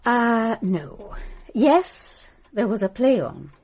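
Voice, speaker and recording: An actress in a radio drama playing a scornful, bitter estranged wife with a caustic, haughty manner. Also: female voice, on the high side, very animated, takes it slowly, darkish tone, exaggerated voice. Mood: sardonic, evasive.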